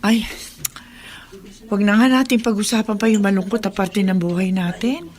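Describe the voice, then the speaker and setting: A woman's voice: mid-range voice, normal speed, a little mellow, slight background noise, close to an everyday voice. A woman playing a good-natured middle-aged widowed mother in a radio drama, chatty and reassuring. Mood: friendly, reassuring. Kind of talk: Tagalog radio drama acting.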